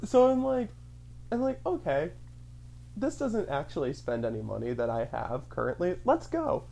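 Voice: medium pitch, very animated, moderate pace, vocal fry, mellow, slight background noise. A speaker with a mid-range voice, chatting casually with a friend. The talk rambles, packed with fillers, and the speaker acts out their own thoughts in little quotes. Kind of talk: casual chat, storytelling.

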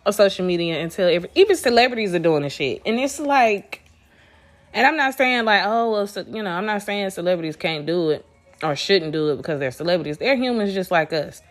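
A woman's voice: medium pitch, expressive, brisk, faint music behind. A woman hosting a casual solo podcast, talking bluntly with lots of swearing, restarts and a stuffy, congested nose. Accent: Southern US. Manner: blunt, profane, rambling with restarts. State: congested from allergies. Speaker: podcast host.

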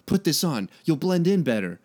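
Storybook turtle brother male reader, upbeat and playful, coaxing delivery with a slightly raised pitch. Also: sing-song, moderate pace, a little mellow.

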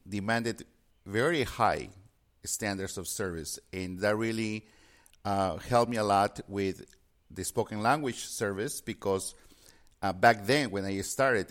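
A man from Peru, a native Spanish speaker, answering interview questions about his work in a halting way with many fillers and restarts. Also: on the low side. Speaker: interpreting business guest.

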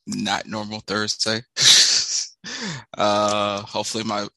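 Gravelly, nasal man with a bright edge. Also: fairly low pitch, monotone, no echo, poor audio. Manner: halting, restarts phrases. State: voice still recovering from shouting. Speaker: podcast co-host. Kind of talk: casual podcast chat.

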